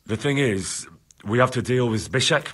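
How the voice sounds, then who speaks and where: An actor playing an earnest, deferential young squire in a medieval game cutscene, with a slightly husky voice. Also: male voice, medium pitch, animated, moderate pace, fairly bright tone. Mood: earnest, urgent. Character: earnest young squire, nobleman's illegitimate son. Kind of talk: dramatic game cutscene acting.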